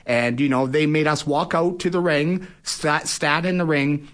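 A man's voice: on the high side, fairly even intonation, unhurried, fairly bright tone. A man speaks as an athlete guest in a casual interview, conversational and often tagging phrases with "you know" and "right?". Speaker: guest, professional boxer.

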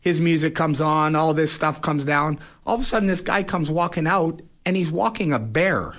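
A man's voice: a little high, moderate pace, very noisy. A man speaks as an athlete guest in a casual interview, conversational and often tagging phrases with "you know" and "right?". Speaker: guest, professional boxer.

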